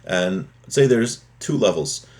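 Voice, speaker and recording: Lower-voiced man teaching a religious lesson. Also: expressive, slow pace, darkish tone. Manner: didactic, repeats and rephrases key points. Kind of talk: explanatory religious lesson, reading a text aloud and explaining it.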